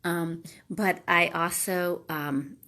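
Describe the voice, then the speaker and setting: A woman's voice: on the low side, fairly even intonation, very slow, sharp tone. A middle-aged woman, congested and nasal from allergies, hosting a casual live talk full of ums and restarts. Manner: hesitant, many ums and restarts. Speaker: live video host.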